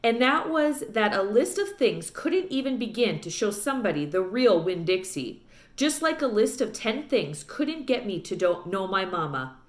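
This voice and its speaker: A woman's voice: medium pitch, very animated, normal speed. A woman narrating a children's book aloud expressively, recorded with roomy echo.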